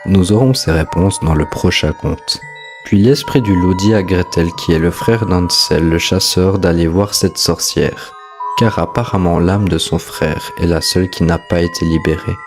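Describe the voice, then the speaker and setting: A man's voice: low voice, normal speed. A man hosting and narrating a scripted explainer of a horror story. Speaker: host, narrator. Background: music.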